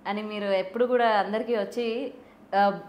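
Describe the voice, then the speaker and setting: A woman's voice: mid-range voice, fairly even intonation, moderate pace, a little mellow. A woman interviewing conversationally, agreeing and repeating what the guest says. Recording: echoey room.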